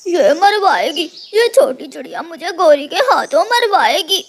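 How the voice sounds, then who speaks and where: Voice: very high-pitched, very animated, unhurried, creaky, slight background noise, exaggerated voice. An actor voicing a sly, self-righteous male crow in a cartoon, whining and indignant. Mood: worried. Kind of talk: animated cartoon dubbing.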